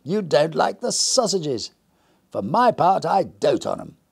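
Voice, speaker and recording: A man doing a hearty, jolly gentleman stranger. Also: a little high, big pitch swings, a little slow, gravelly, slightly rough, voice pushed up, heavily acted. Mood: delighted. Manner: hearty, laughing, exclaiming. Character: cheerful jolly middle-aged gentleman stranger.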